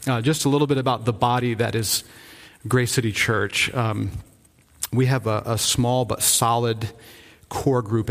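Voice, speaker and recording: A man's voice: medium pitch, creaky. A man from Rhode Island, a pastor, giving an earnest, informal update from the pulpit, often restarting his sentences. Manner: earnest, enthusiastic, halting with frequent restarts. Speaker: visiting church-planting pastor. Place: church sanctuary. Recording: long reverb of a church hall.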